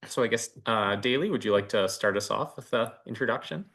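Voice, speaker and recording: A man's voice: lowish voice, a little fast, a bit bright. A man moderating an online information session in an informal, spontaneous way. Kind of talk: informal hosting of an online presentation.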